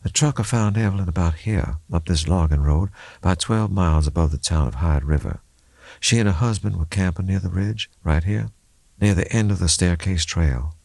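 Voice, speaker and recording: A man voicing a gentle female officer in an audiobook, careful and hesitant as someone bearing grim news. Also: deep voice, normal speed, vocal fry, no room sound. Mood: earnest, uneasy. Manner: careful, hesitant, groping for words. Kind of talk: audiobook character acting.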